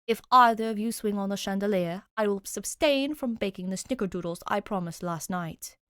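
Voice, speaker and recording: A woman's voice: mid-range voice, normal speed, a bit bright, no echo, clean studio sound, close to an everyday voice. A woman voicing a stern, proper older male butler in an audiobook story, formal and commanding. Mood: stern warning.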